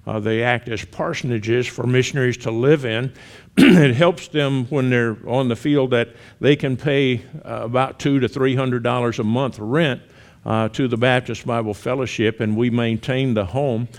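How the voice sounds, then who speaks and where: A man's voice: medium pitch, steady tone, normal speed. Plain-spoken Southern man in his sixties. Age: 60s. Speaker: missionary guest speaker. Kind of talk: church testimony talk.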